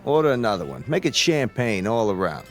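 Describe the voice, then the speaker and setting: An actor playing a jovial, falsely friendly crime boss in a noir radio drama, chummy and commanding. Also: male voice, lowish voice, big pitch swings, fairly quick. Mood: jovial, in control. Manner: chummy, commanding, smooth-talking.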